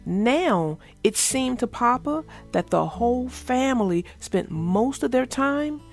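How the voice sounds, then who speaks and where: A woman reading a children's storybook aloud as narrator, in an expressive storytelling manner. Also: on the high side, slow pace, vocal fry, faint music behind. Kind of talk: scripted storybook read-aloud.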